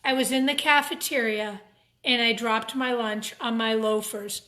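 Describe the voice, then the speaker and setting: Female storytime reader: high voice, unhurried, expressive, addressing young kids, in a roomy, echoing space. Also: noisy background. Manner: expressive, simple asides to young listeners. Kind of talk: picture-book read-aloud for children with asides. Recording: roomy, echoing room sound.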